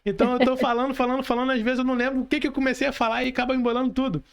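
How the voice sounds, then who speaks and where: A woman's voice: high voice, flat delivery, very fast, clean tone. A Brazilian woman hosting a podcast, chatting casually and motivationally, repeating phrases and putting rhetorical questions to listeners. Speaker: podcast host, mentor.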